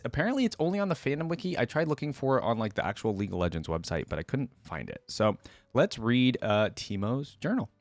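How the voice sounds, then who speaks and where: A man's voice: medium pitch, no room sound. A man hosting a reaction video, switching between casual off-the-cuff commentary and reading text aloud.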